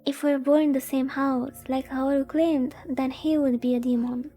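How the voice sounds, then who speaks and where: A woman's voice: sits high, flat delivery, normal speed, dark tone, soft background music, natural voice. A woman voicing a naive young visual-novel heroine who narrates her own story in an earnest, literary way. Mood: shaken, wondering.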